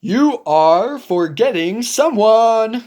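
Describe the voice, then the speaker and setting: A woman voicing a big, gentle, worried elephant in a storybook read-aloud, speaking low and carefully. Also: lively intonation, very slow. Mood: cautious.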